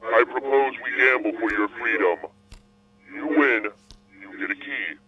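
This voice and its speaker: A man's voice: mid-range voice, lightly acted. Man playing a coolly smug captor on a tinny line. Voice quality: measured, smug, coolly polite. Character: calm cunning male captor gambling for keys. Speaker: interrogator. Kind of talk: audio drama acting. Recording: phone-like, crowd noise behind.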